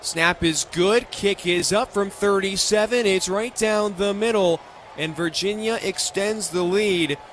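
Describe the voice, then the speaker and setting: A fairly high-pitched American man works as a radio play-by-play announcer at a football game. He delivers the action in short, clipped phrases. Outdoor stadium noise is audible behind him. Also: unhurried, fairly bright tone. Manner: clipped present-tense phrases.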